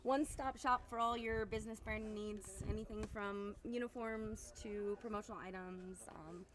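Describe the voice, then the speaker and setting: A woman's voice: mid-range voice, steady tone, normal speed, a little hiss or hum. A woman speaking as a guest and small business owner, explaining her work in casual, unscripted promotional talk.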